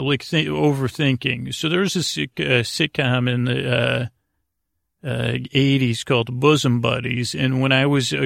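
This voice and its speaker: A middle-aged man with a gravelly, creaky voice hosts a meandering bedtime podcast. His pitch stays fairly flat as he halts, backtracks and drifts into tangents. The sound is dry and close, with little room to it. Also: no echo. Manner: meandering, halting, digressive, self-interrupting. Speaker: podcast host. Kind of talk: rambling bedtime podcast monologue.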